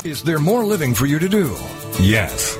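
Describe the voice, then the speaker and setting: Lively man reading a radio promo. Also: on the high side, normal speed. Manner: smooth, promotional. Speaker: radio announcer. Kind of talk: scripted promo read. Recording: station promo over music bed.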